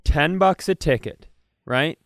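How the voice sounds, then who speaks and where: A man's voice: fairly high pitch, slow pace, gravelly, fairly bright tone, dry recording, clean studio sound. A man co-hosting a comedy podcast, chatting casually and rambling enthusiastically through comic ideas.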